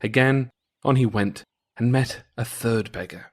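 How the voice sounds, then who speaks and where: A man narrating an old folktale in a measured, theatrical storytelling manner. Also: medium pitch. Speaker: guest narrator.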